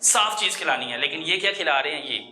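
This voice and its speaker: A man's voice: fairly high pitch, expressive, fast talker, sharp tone. A man with a Lahore Pakistani accent reporting on the spot in a confrontational, scolding and insistent way, repeating himself. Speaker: TV reporter on a food hygiene raid. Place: small food workshop, on location. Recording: echoey room with busy people around.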